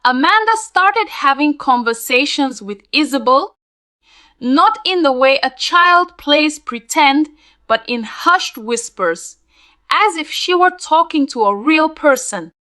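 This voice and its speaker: A woman narrating a spooky scripted tale in a dramatic, deliberate storytelling manner. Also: sits high, expressive, sharp tone, dry recording.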